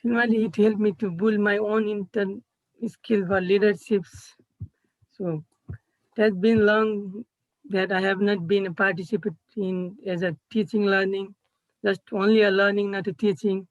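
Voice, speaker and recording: A young man from Nepal with a Nepali accent, presenting his internship reflection over a video call, pausing and restarting as he searches for words. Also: high-pitched, little pitch movement, no echo. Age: young adult. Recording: dull, slightly muffled video-call audio.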